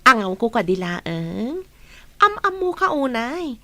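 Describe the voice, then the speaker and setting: A woman's voice: fairly high pitch, big pitch swings, slow, sharp tone, dry recording, exaggerated voice. A woman playing a playful, teasing best friend in a radio drama, with an Ilocano accent.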